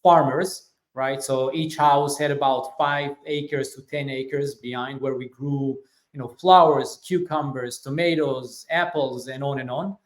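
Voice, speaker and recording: A man in his forties from Israel with an Israeli accent, telling his life story as an interview guest, relaxed with many fillers. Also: mid-range voice, unhurried. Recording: echoey room.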